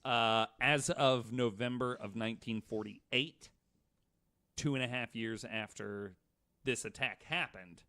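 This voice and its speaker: Man, American accent, bright forceful voice, podcast storyteller, reading from notes, casual asides. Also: medium pitch, slow pace. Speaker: podcast co-host.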